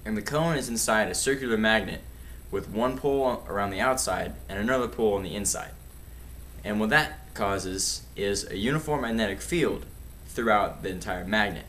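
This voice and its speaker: A man's voice: fairly low pitch, normal speed, a bit bright. A young man giving an explanatory presentation for an educational video. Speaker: student presenter. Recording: echoey room, distant mic, faint noise.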